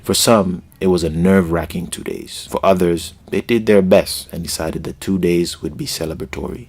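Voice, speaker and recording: A man from the U.S. Virgin Islands reading a scripted, reflective travel narration for his vlog. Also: low voice. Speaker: vlogger.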